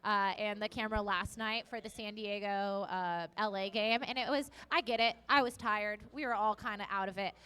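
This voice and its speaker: Bright, chatty woman co-announcing roller derby. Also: mid-range voice, steady tone, moderate pace, hardly any pauses, clear, resonant tone. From United States. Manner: chatty, candid, laughs at herself. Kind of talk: live sports commentary.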